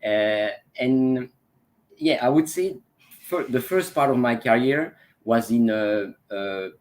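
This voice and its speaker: A man's voice: medium pitch, slow pace, darkish tone. A Belgian man in his forties, a transformation coach talking as an interview guest, with frequent fillers and restarts. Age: 40s. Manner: hesitant, many fillers and restarts. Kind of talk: casual interview talk.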